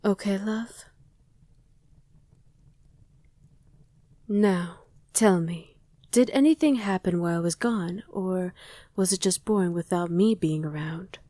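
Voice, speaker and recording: A woman's voice: fairly low pitch, warm tone, no room sound, close to an everyday voice. A woman playing a loving, wistful vampire wife in an intimate ASMR roleplay, speaking tenderly and often in a whisper, close to the microphone. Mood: tender, reassuring. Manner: slow, tender, coaxing murmur. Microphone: close, dry microphone.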